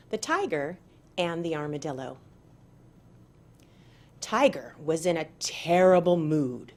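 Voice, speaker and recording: A woman reading aloud to children as a storyteller, with deliberate, dramatic emphasis. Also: on the low side. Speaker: parent and school board member reading aloud.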